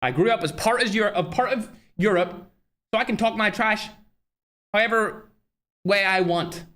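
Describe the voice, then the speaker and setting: Guy streaming, kinda high voice, bright and punchy, trash talks his chat. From Northern Ireland. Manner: brash, fast-flowing, talks straight to chat, trash-talking. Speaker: livestream host and tournament organizer. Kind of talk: casual live-stream chat.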